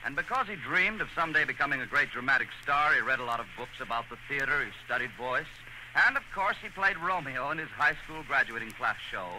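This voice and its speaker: A man's voice: mid-range voice, lively intonation, fast, bright, forceful tone. A man giving earnest, theatrical scripted narration in a 1940s radio style. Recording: noisy old radio broadcast.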